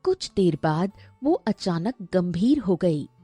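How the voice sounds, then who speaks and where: A woman's voice: on the low side, sing-song, slow, dark tone, husky, dry recording, soft background music. A woman narrating a scripted fairy tale as a storyteller.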